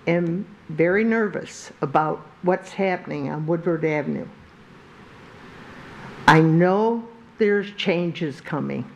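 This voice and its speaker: An older woman with a big, carrying voice, speaking slowly and haltingly at a podium microphone during public comment in a council chamber. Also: fairly low pitch. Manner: slow, halting, with many pauses and restarts. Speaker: resident giving public comment. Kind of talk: public comment at a zoning meeting.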